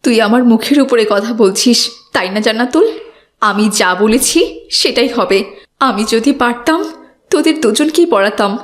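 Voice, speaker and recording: A woman's voice: a little high, expressive, a little slow. A woman playing a poor widowed mother in a cartoon, weary and admonishing, firm but loving. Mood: stern. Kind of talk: cartoon voice acting.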